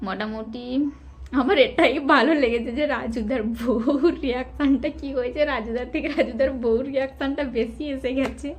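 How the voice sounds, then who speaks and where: A woman speaks in a clearly high voice with a rural Medinipur Bengali accent. Her delivery is informal and chatty, like a vlogger talking on a casual call. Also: a little fast. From West Bengal, India. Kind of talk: casual chat.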